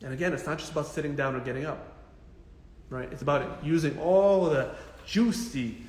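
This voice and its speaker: Male physio host, slightly high voice, informal and punchy advice, repeats points for emphasis, recorded in a reverberant room. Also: moderate pace. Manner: animated, blunt, jokey, emphatic repetition. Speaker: physical therapist hosting a live Q&A. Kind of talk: casual informal health advice, answering viewer questions.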